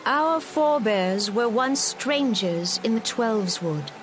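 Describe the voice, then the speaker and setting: An actress playing a solemn female forest leader giving a measured, formal ceremonial speech in a fantasy game. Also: a little high, animated. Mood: solemn, reverent. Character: gentle, solemn female forest elder leader addressing her people. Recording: music and rain behind the voice.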